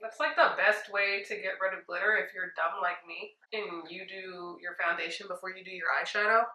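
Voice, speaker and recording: A woman's voice: medium pitch, normal speed. A young woman in her twenties doing a friend's makeup while chatting casually and telling jokey stories at home. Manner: chatty, jokey, animated storytelling. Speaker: makeup artist host. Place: small indoor room at home. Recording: echoey room.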